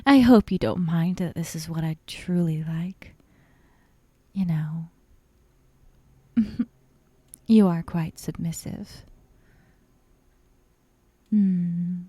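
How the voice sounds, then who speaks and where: A woman voicing a possessive, dominant young yandere kidnapper in an ASMR roleplay, softly coaxing and sweetly menacing, often slipping into whispers. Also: fairly low pitch, no echo, heavily acted. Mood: giddy, thrilled. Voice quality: soft, breathy, often dropping into whispers. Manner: slow, teasing, coaxing with veiled threats. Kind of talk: ASMR roleplay, intimate monologue to the listener. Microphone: close, dry microphone.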